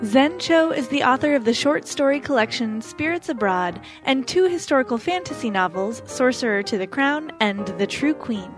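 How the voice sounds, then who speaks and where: A woman host reading a scripted introduction of a guest in a crisp, formal manner. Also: sits high, lively intonation, moderate pace, few pauses, over music. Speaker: podcast host.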